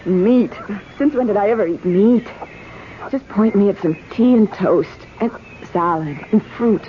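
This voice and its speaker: An actress in an old radio drama playing a flippant wife with a chatty, irreverent manner. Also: female voice, mid-range voice, measured pace, slight background noise, natural voice. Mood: relieved, chatty. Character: flippant, breezy, lively wife. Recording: tinny, narrow old radio recording.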